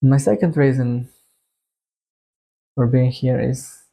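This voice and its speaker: A young man with a Bulgarian accent gives a casual monologue to his viewers. His voice is gravelly and mellow, and he speaks slowly, often pausing partway through a thought as if feeling out the next phrase. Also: medium pitch, steady tone, dull audio. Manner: halting, hesitant delivery with long phrasing breaks. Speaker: YouTuber introducing a music channel.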